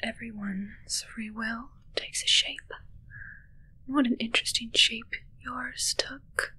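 A woman playing a possessive, commanding witch in a whispered ASMR roleplay, softly taunting and close to the microphone. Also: on the high side, steady tone, slow pace, husky, exaggerated voice, low-quality recording. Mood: sinister, coaxing. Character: possessive, commanding young female witch addressing her familiar.

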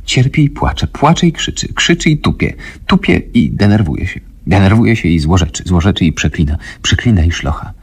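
A man's voice: low voice, big pitch swings, normal speed, hardly any pauses, slightly rough, voice pushed up, full character voice. A man voicing a melodramatic, flustered woman in a comic radio story, lamenting and repeating herself. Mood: distraught. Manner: breathless repetitive lamenting, hesitant stammering. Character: panicky, flustered, shrill-voiced woman, melodramatic.

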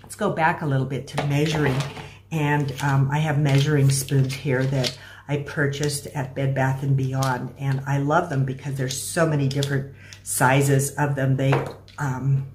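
A middle-aged American woman giving a casual, step-by-step cooking demonstration in a halting, explanatory way. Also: extremely low pitch, level tone. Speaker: home cooking instructor. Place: small room at home, indoors. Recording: clear background noise with clicks.

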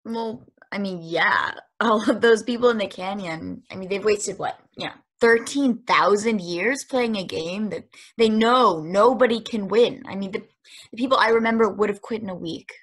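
An actress voicing a young woman who is uneasy and searching, speaking with hesitation and filler words in a husky voice on a video call table read. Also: medium pitch, normal speed, a bit bright.

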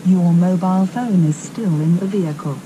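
A woman's automated car announcement, flat and synthetic. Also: lowish voice, normal speed, heavy background noise. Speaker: car voice alert.

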